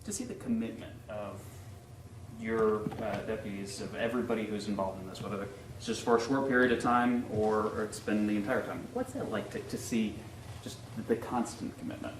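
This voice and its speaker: A reporter puts a long, rambling question to the sheriff. His voice is somewhat low and sounds distant. The recording is echoey, with clear background noise behind him. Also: steady tone, a little fast. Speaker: news reporter asking questions. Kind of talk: interview questions. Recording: distant, roomy press-gaggle audio with background noise.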